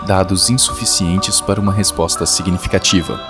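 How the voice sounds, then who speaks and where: A galactic supercomputer speaks through a male actor in a low, melodious voice. It is impassive and formal, set in a science fiction audio drama over loud music. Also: fairly even intonation, a little fast, close to an everyday voice.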